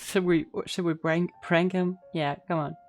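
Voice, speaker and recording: A woman's voice: fairly low pitch, fairly even intonation, moderate pace, darkish tone, soft background music. A woman gaming streamer casually reacting to a game and reading dialogue choices aloud.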